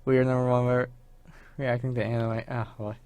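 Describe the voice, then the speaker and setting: A young adult man co-hosting a talk show, chatting casually about gaming in a halting, informal way and repeating words. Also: medium pitch, level tone, a little mellow, smooth, dry recording. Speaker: college radio show co-host. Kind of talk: casual chat about video games.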